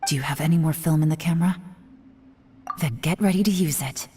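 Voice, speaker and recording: A woman's voice: low-pitched, fairly quick, dark tone, soft background music, natural voice. An actress playing a cool, shrewd female operative in a video game, composed and knowing. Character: cool, shrewd female observer directing a stakeout. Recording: long reverb, faint noise.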